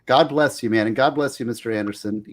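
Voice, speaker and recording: A man's voice: mid-range voice, fast. A man appearing as a lawyer guest on a casual video-call livestream, talking in a folksy, rambling way and giving earnest advice. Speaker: trial and appellate lawyer guest.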